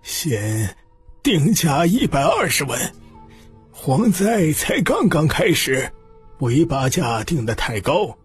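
A man voicing a shrewd elder who leads a clan, measured and calculating, in an audiobook. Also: fairly high pitch, big pitch swings, soft background music, pitched above the performer's own voice. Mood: restrained excitement.

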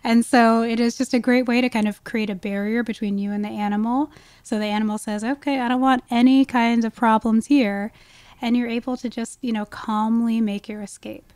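A woman's voice: a little high, fairly even intonation, fairly quick, darkish tone, smooth, dry recording. A woman speaking as an expert guest in a conversational podcast interview, explaining things with emphasis. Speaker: guest, wildlife ecologist.

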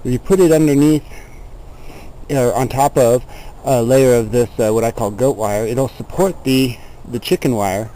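A man's voice: medium pitch, normal speed, mellow, a little hiss or hum, low-quality recording. A plainspoken man giving a casual, explanatory walkthrough of something he built, talking from behind a handheld camera outdoors. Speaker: DIY builder showing a chicken coop. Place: outdoors in a yard beside the coop. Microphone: handheld camera mic, speaker behind the camera.

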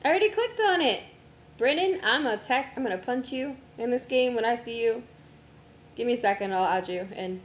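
A young woman streamer chatting casually with friends while setting up a game, her voice going hoarse from a long day of talking. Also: fairly high pitch, expressive, brisk, reverberant, slight background noise. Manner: distracted, talks to herself while navigating menus.

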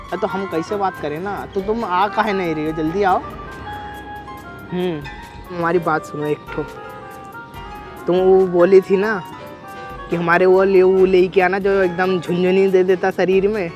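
A man's voice: sits high, fairly even intonation, measured pace, pauses often, dull audio. A young man with a Bhojpuri accent, a prankster chatting on a phone in a rustic, teasing, cheeky way outdoors. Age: young adult. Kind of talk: staged loud phone chat for a street prank. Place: outdoors in a leafy park. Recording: outdoor recording with music underneath. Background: music.

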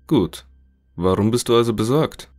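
Man playing a low-voiced probing scientist. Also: animated, moderate pace, darkish tone, faint music behind, lightly acted. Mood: businesslike, curious. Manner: questioning, matter-of-fact. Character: inquisitive male scientist, courteous, probing interviewer. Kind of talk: scripted audio drama.

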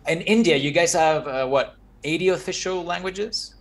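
A man's voice: fairly high pitch, moderate pace. A man in his thirties from Mexico, Spanish his first language, giving earnest advice as a guest on a video call from his home office. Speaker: guest architect. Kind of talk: casual video-call chat. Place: home office with framed pictures.

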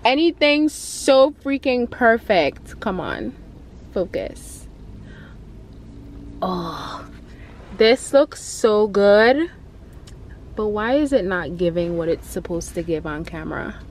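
A woman's voice: mid-range voice, expressive, slow pace, pauses often. A woman in her twenties chatting casually to camera from inside a car, with self-mocking asides and lots of fillers and restarts. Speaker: vlogger. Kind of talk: casual vlog chat. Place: inside a parked or moving car. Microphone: camera on the dashboard. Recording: distant mic with loud car noise.

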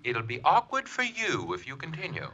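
An actor playing an inept anonymous threatening caller in a 1940s radio mystery, hesitant and faltering. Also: male voice, fairly high pitch, wildly swooping pitch, moderate pace, bright, forceful tone, heavily acted, poor audio. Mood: nervous, threatening. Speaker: supporting actor.

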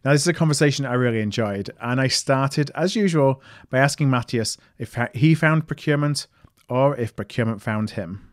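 A man's voice: medium pitch, a little fast, no room sound, clean studio sound. A man hosting a podcast, interviewing in a conversational style.

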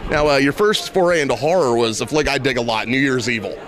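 A young man conducts a casual fan interview in a convention hall, laid-back and even. He holds a handheld mic. A busy crowd murmurs all around. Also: medium pitch. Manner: laid-back, quick short affirmations. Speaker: interviewer, horror blog host. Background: crowd chatter.

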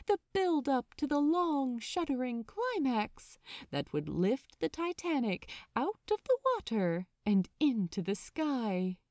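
A woman reading fiction aloud as a narrator, in a dramatic, expressive audiobook style. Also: a little high, no room sound, clean studio sound.

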